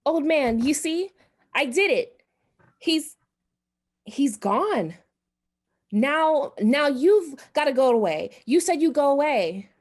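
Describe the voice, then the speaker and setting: A frightened, anxious wife in a radio play, played by a woman. Her fairly high voice swings widely in pitch, and she speaks in slow, halting, dramatic phrases. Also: sing-song, darkish tone, full character voice. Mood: panicked.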